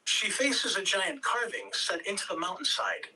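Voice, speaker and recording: A man narrates a screenplay's scene headings and action at an amateur table read. His voice is high for a man and very bright, picked up a little away from the microphone with faint room noise. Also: normal speed, far from the mic, rough recording. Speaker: stage-direction reader.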